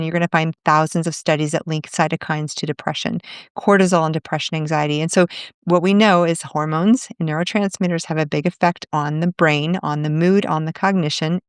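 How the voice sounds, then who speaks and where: Steady, explanatory woman physician. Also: low-pitched, clean tone, no room sound, clean studio sound. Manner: steady, explanatory, lists examples. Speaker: functional medicine physician. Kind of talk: expert explanation in interview.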